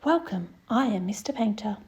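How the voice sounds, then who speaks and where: A woman's voice: mid-range voice, expressive, moderate pace, darkish tone, slight background noise, close to an everyday voice. Woman as flustered, friendly old storybook painter man. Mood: welcoming, flustered.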